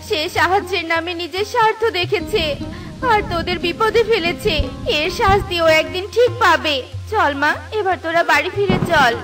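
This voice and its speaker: A woman's voice: very high voice, moderate pace, music in the background. A woman voicing a caring, anxious mother bird in a cartoon, with an emotive, pleading manner. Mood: stern, caring. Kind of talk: Bengali cartoon voice acting.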